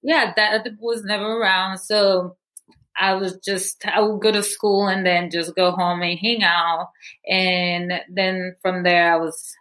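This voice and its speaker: A woman's voice: medium pitch, flat delivery, slow, a bit bright, poor audio. A young woman from Guatemala, a podcast guest candidly telling her life story with many fillers. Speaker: guest, movement founder. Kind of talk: personal story interview.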